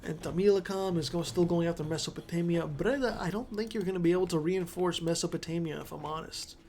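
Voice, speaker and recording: A man's voice: sits high, quick pace, a little mellow, a little hiss or hum. A man commentating on a strategy game in a casual, rambling way, correcting himself as he goes and sounding congested. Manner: chatty, rambling, self-correcting.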